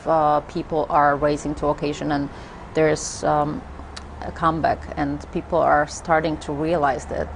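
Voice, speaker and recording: A woman from Poland with a Polish accent, a spiritual teacher talking in an interview, halting with many fillers and restarts. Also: low-pitched, creaky, a little hiss or hum, low-quality recording. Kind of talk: interview conversation.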